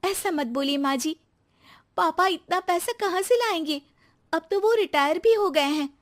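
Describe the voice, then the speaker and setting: A woman voicing an earnest, dutiful young daughter-in-law in a moral story, protesting politely with quiet resolve. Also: extremely high pitch, moderate pace, pitched above the performer's own voice. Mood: pleading. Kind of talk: acted moral-story dialogue.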